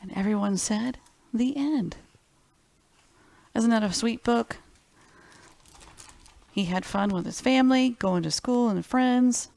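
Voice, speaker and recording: A woman's voice: fairly high pitch, slow, vocal fry, long pauses, a little mellow, no echo. A woman hosting a children's library storytime, reading picture books expressively and speaking simply and directly to young listeners.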